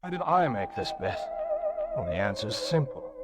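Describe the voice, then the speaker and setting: An actor playing a proud, intense young prisoner in an old-time radio drama, speaking in earnest, reflective phrases. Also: male voice, a little high, wildly swooping pitch, normal speed, over loud music. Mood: proud resolve. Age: young adult. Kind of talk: old-time radio drama acting, first-person narration.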